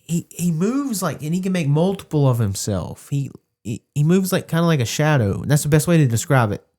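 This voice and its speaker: A man on a podcast explaining things earnestly and a bit haltingly in casual movie chat. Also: on the high side, very animated, darkish tone. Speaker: podcast panelist.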